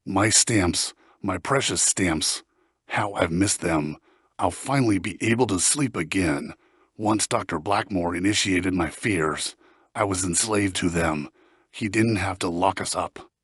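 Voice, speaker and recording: A man's voice: low-pitched, unhurried, a bit bright, breathy edge, dry recording, studio quality, exaggerated voice. A man voicing a weary, wistful former captive who treasures his stamp collection, in a dramatic monologue. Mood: relieved, wistful.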